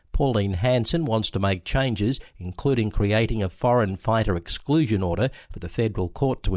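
Man, Australian accent, radio newsreader, lowish voice, dry studio sound. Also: dry recording. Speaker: news presenter. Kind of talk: read news bulletin.

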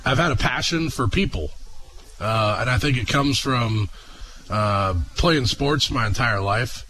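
A young man from Oklahoma answers questions on a radio show with a bright, forceful voice. He talks in a halting way, filling gaps with uhs and ums. A faint hiss sits behind him. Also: mid-range voice, poor audio. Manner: halting, many filler sounds. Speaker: guest, auto dealership executive. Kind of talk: radio interview.

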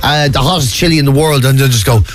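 A man's voice: medium pitch, quick pace, soft background music. An Irish man co-hosting morning radio, joking wryly with mock indignation. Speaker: radio co-host. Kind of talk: casual morning radio banter. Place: radio studio.

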